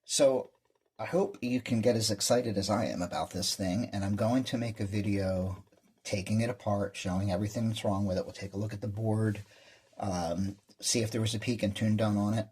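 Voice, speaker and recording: A man with a fairly low, husky voice chats casually as a hobbyist presenter. He explains things in a rambling, enthusiastic way, as if showing something off to viewers of his vlog. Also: steady tone, moderate pace, darkish tone. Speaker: amateur radio hobbyist presenter. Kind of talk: casual show-and-tell vlog talk.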